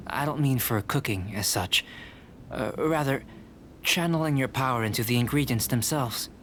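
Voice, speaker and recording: A man voicing a calm, curious young alchemist in a video game, speaking in a measured, polite, scholarly way. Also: mid-range voice, slight background noise, lightly acted. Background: game music.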